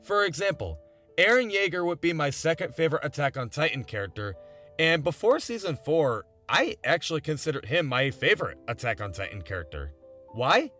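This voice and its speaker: Young male video essayist, highish voice with very lively intonation, earnest and conversational, music underneath. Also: fairly quick. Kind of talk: scripted video essay narration. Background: background music.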